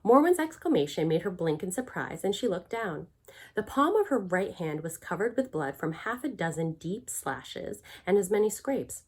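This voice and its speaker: Low-pitched woman reading a storybook aloud. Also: big pitch swings, moderate pace, darkish tone, husky. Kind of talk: children's book read-aloud narration. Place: small furnished room at home.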